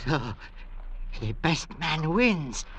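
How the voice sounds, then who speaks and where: An actor playing a delirious, dying castaway with desperate, melodramatic delivery in 1940s radio drama style. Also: male voice, a little high, very animated, extremely slow, full character voice. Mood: vengeful. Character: pleading, delirious, dying male castaway, vengeful. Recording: noisy vintage radio recording.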